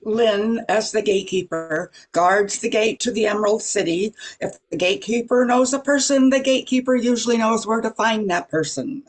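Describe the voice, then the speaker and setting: A narrator reading stage directions and introductions for an amateur radio play, with a gravelly, husky voice. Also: mid-range voice, normal speed, few pauses, low-quality recording.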